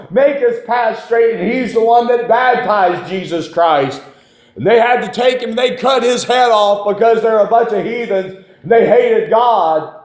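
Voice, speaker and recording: High-pitched preacher man in echoey room. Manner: emphatic, repetitive, exhorting, rising to shouts. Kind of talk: fervent evangelical sermon.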